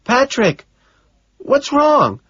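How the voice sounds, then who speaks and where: A man voicing a soft-voiced, flustered young woman secretary who keeps apologizing and repeating herself. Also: extremely high pitch, huge pitch swings, drawn-out words, dry recording, highs cut off, pitched above the performer's own voice, heavily acted. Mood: worried. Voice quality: soft, breathy.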